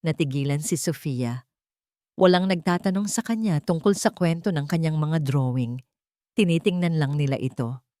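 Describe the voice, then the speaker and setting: A Filipino woman tells a scripted dramatic story as narrator. Her pitch sits a little low for a woman. The recording is very dry and close, with no room sound. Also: moderate pace, warm tone, dry recording.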